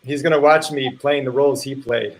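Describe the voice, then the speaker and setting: Man, mid-range voice, informal event host, halting, thinks out loud. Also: mid-range voice, fairly even intonation, background noise. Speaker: playwright and actor introducing a live play reading. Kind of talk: informal spoken introduction to an online event.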